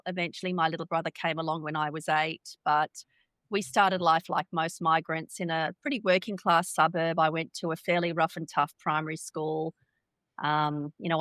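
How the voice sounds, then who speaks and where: A woman in her fifties from Australia, whose first language is Hindi, tells her life story in an interview in a reflective, measured way. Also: on the low side, a bit bright, no echo. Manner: reflective, measured, with occasional repeated words. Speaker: guest.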